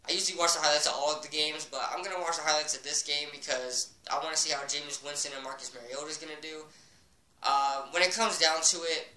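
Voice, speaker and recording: A man's voice: on the high side, fairly even intonation, fast, pushed and bright. A man with a cold, sniffling slightly, giving casual football predictions in a rambling, opinionated way full of ums. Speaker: sports vlogger. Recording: echoey room, far from mic.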